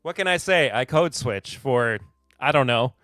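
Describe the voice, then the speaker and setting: A man's voice: mid-range voice, animated, measured pace, fairly bright tone, no echo, clean studio sound, soft background music. A man from Kentucky hosting a live podcast in casual chat, laid-back and chuckling as he reads and answers viewer comments. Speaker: podcast host.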